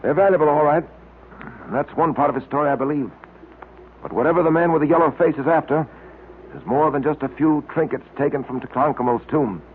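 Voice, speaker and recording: An actor playing a stern, insistent detective with a firm, probing manner in old-time radio drama. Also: male voice, on the high side, fairly quick, close to an everyday voice. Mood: concerned, resolute. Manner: firm, interrogating. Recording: tinny, hissy old-time radio recording.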